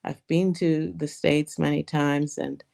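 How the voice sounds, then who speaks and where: A woman from Cuba, calling in to offer thanks in a halting way with many fillers. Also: deep voice, level tone, creaky, dark tone. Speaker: caller. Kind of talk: call-in thanks and chat.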